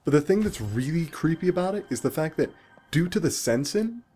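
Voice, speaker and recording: A man's voice: on the high side, expressive, a little fast, dark tone, husky. A man giving casual game commentary as the host, explaining things in a conversational way. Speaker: gaming video host.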